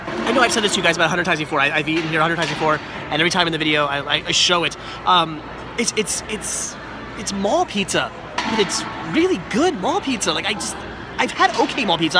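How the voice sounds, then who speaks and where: A man in his thirties with a cold, sounding congested and nasal, rambling cheerfully in a casual vlog at a busy mall arcade. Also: sits high. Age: 30s. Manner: rambling, upbeat, repeats words. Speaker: vlogger. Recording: loud crowd and noise behind.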